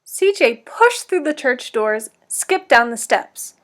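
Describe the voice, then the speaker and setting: A woman with a high-pitched voice reads a picture book aloud as a teacher would for children. Her delivery is deliberate and instructive, made to be followed by young listeners. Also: sing-song, fairly bright tone. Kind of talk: interactive storybook read-aloud for children.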